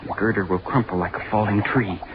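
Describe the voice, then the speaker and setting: An actor playing a young, obsessive, unhinged college student scientist in vintage radio horror, muttering and repeating himself feverishly. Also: male voice, on the low side, steady tone, brisk, natural voice. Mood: feverish, menacing. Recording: dull, noisy vintage radio recording.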